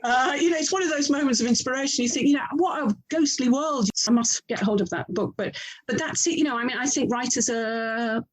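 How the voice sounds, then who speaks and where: A woman's voice: high-pitched, brisk. A woman with an English accent speaking as an interview guest, effusive and enthusiastic in a conversational style. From England. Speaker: author and audio drama producer, interview guest.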